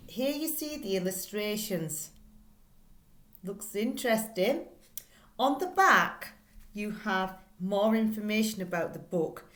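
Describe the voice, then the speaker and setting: A middle-aged woman teaching, reading a children's book aloud in a deliberate, expressive way and putting questions to her listeners. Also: medium pitch. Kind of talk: read-aloud lesson for pupils, reading and explaining a children's book. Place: small plain indoor room. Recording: echoey, roomy indoor recording.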